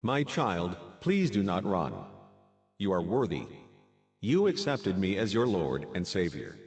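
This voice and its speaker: Guy doing calm jesus-like figure, low voice w lots of intonation, gentle and solemn, horror drama, faint music behind. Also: a little slow, exaggerated voice. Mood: coaxing, solemn. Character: calm, coaxing Christ-like divine being summoning a child. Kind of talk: scripted horror audio drama.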